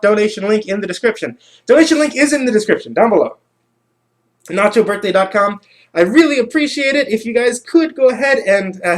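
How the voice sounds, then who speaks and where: Halting man with a higher-pitched voice. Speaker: livestream host. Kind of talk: casual livestream chat.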